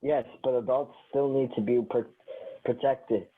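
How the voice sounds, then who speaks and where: A man's voice: medium pitch, fairly even intonation, unhurried, slightly rough. A man playing a guarded, dryly sardonic but protective man in an amateur sitcom table read. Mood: concerned. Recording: tinny phone-like line.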